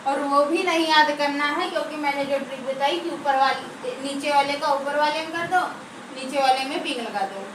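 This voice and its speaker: A woman teaching a grammar class, asking questions and answering them herself, dictating slowly. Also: sits high. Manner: asks and answers her own questions; slow and dictating. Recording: echoey room, mic far off, noisy.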